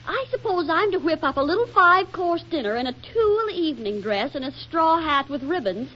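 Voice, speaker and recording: An actress playing a brisk, wry young housewife and devoted mother in a 1940s radio comedy, with a theatrical delivery. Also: high-pitched, very animated, pushed and bright, exaggerated voice. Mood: wryly indignant. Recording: dull, faintly noisy 1940s radio recording.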